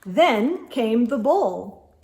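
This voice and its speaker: A woman's voice: on the high side, very animated, drawn-out words, darkish tone, slight background noise. A woman reading a children's storybook aloud as host, expressively and with emphasis, speaking directly to young listeners.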